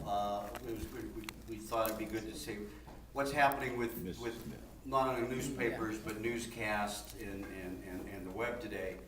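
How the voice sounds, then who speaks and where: A man giving an informal, anecdotal introduction to a panel, halting and often repeating his words. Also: fairly low pitch. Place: large echoing indoor venue, public forum. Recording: echoey, noisy hall, distant microphone.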